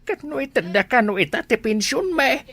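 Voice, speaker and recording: A woman's voice: high-pitched, sing-song, normal speed, a bit bright, full character voice. A woman acting a grateful, elderly grandfather in a comedy drama. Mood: grateful, agreeable. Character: grateful old grandfather collecting his pension.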